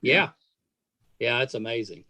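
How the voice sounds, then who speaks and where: A man's voice: mid-range voice, animated, a little slow, sharp tone, dry recording. A middle-aged man hosting a casual interview, speaking in a folksy, chatty way. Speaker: podcast host.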